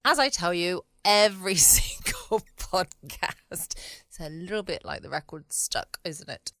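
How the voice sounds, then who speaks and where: A woman's voice: on the low side, big pitch swings, measured pace, fairly bright tone, dry recording. A woman with a southern English accent chatting informally and rambling as a solo podcast host. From United Kingdom. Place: at home, dry close room.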